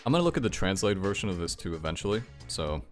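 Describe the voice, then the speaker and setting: A man's voice: low-pitched, big pitch swings, quick pace, music in the background. A man giving casual, rambling reaction commentary as the host of a gaming video.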